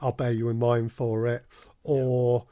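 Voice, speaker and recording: Male podcast guest, medium pitch, close dry sound, conversational and hesitant, thinking through his answers. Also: flat delivery, unhurried, warm tone, no echo. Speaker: podcast guest, audio drama producer and casting director. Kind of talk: casual interview conversation.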